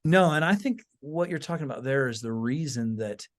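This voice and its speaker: A man's voice: mid-range voice, expressive, normal speed. A middle-aged man co-hosting a podcast in casual conversation, informally thinking aloud with frequent asides. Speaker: podcast co-host.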